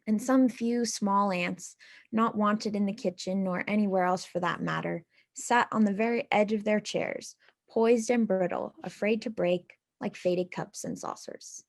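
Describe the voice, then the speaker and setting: A woman reads a nostalgic literary story aloud in a dramatic storytelling style. Her voice sits at a middle pitch. She phrases it in a measured, expressive way. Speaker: reader in a readers theatre.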